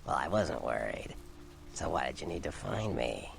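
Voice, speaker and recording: An actor playing a sly, smugly amused Nosferatu vampire, a gremlin-like monster with a gravelly, whispery drawl in a video game. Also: male voice, very animated, normal speed, faint music behind, exaggerated voice. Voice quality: very deep, gravelly, slipping into a hoarse whisper. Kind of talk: video game character acting.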